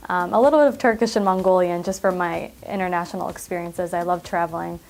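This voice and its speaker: A woman's voice: fairly low pitch, steady tone, fast, gravelly, darkish tone, a little hiss or hum. A young adult woman from Michigan who grew up speaking Arabic first, a clinic director talking earnestly in an interview, often saying "you know". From Michigan, USA. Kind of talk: interview monologue.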